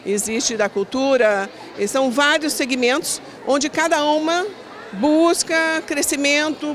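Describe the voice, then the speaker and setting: A middle-aged woman talking into a handheld mic in an indoor event hall, a business committee coordinator speaking earnestly in a listing, repetitive way with small restarts. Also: sits high. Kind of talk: event interview. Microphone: handheld interview mic.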